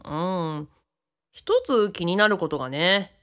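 A woman playing a polite, earnest and easily flustered young man in an audio drama, in an eager, expressive way. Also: on the low side, unhurried. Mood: thoughtful. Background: background music.

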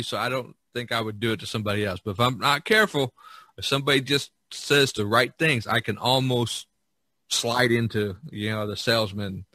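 A man's voice: medium pitch, bright, forceful tone, dry recording. A middle-aged man hosting a podcast, chatting casually in a rambling, jokey way over an online call. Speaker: podcast host. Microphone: online video call.

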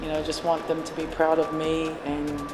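A woman's voice: low-pitched, completely flat delivery, normal speed. A woman in her forties who runs a café and trains fitness classes, answering interview questions earnestly with many fillers and restarts. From New Zealand. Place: café, indoors. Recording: echoey room with long reverb and music behind.

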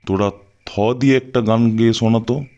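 A man's voice: mid-range voice, fairly even intonation, a little fast, mellow, lightly acted. A man playing a cocky, hot-tempered young man in an audio story, mocking and defiant in manner. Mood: smug. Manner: taunting, defiant. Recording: slightly dull sound with music.

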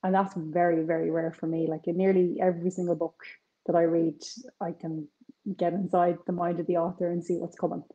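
A woman with a North Side Dublin accent speaks with a low, mellow voice over a video call. She talks chattily and animatedly, full of quick asides, from her kitchen at home. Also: level tone, highs cut off. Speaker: crime author guest.

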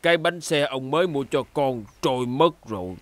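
An actor playing an expressive male talking vehicle in a dubbed children's cartoon. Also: medium pitch, moderate pace, no room sound, lightly acted. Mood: relieved, gentle.

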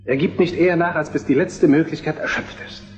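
A man's voice: on the high side, fairly even intonation, brisk, faint music behind, close to an everyday voice. A man acting an affectionate, reasonable Prussian crown prince in a historical radio drama, explaining in a conciliatory way. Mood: earnest. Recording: dull reverberant radio play recording.

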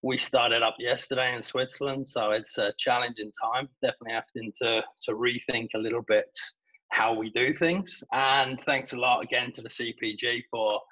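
A British man, a golf coach, giving a measured webinar presentation over a video call, with some hesitations. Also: medium pitch, steady tone, sharp tone, highs cut off. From Britain.

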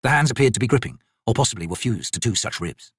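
A man's voice: mid-range voice, lively intonation, fast, slightly rough, no room sound, studio quality. A man reading dramatic fantasy narration as an audiobook narrator.

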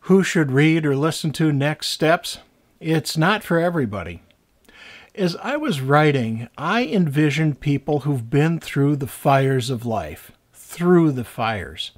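Slow-paced older man presenting. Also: on the high side. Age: 60s. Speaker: author and teacher presenting his book. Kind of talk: scripted presentation to camera.

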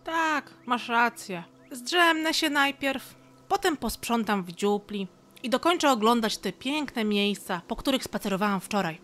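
Eager, chatty girl squirrel voiced by a woman, slightly high, cheeky, for a children's story. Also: expressive, a little slow, a bit bright. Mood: cheerful. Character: cheeky, curious, eager young female squirrel. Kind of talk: children's audio play.

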